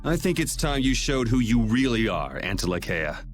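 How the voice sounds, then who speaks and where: An actor playing a blunt, determined young hero with a casual, direct way of talking in a video game cutscene. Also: male voice, mid-range voice, sing-song, normal speed, faint music behind, lightly acted.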